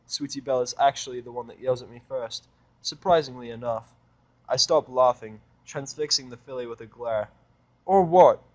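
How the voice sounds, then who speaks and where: A man reading fan fiction aloud as an expressive first-person narrator. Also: mid-range voice, fairly quick, rough recording.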